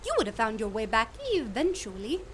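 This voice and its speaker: A woman's voice: a little high, very animated, fairly quick, a little hiss or hum. A woman playing a quirky, cheerful amateur naturalist with a sing-song, whimsical way of talking.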